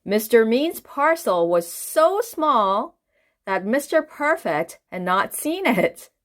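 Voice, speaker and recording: Female children's storyteller, expressive and lively in pitch, unhurried, engaging the listener directly. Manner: expressive, asks the listener questions. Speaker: children's book reader. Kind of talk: animated read-aloud storytelling for children.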